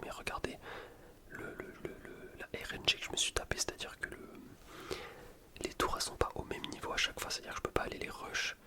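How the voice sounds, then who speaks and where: Male, whispered voice, ASMR gameplay commentary, casual slang, rambling explanations. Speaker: gaming ASMR video creator.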